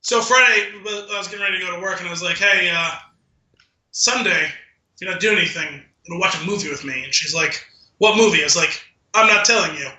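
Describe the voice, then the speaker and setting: A man with a very bright, forceful, high voice in a roomy, echoey space. He tells casual, rambling stories like a podcast co-host, animated and sardonic, quoting back-and-forth dialogue as he goes. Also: brisk.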